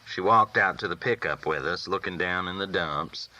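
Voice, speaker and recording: A man's voice: low-pitched, moderate pace, sharp tone, low-quality recording. A man reading a first-person novel aloud as a folksy storyteller. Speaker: audiobook reader.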